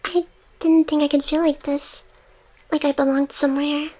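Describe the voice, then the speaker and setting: A woman voicing a devoted, teasing female AI hacker in an intimate ASMR roleplay, soft and tender. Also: sits high, little pitch movement, moderate pace, close to an everyday voice. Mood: tender, wistful.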